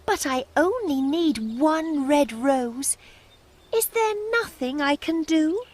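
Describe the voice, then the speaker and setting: A woman's voice: high-pitched, expressive, takes it slowly, a little hiss or hum. A woman voicing a romantic, devoted little nightingale in a storybook, earnest, wistful and pleading. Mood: earnest, brave.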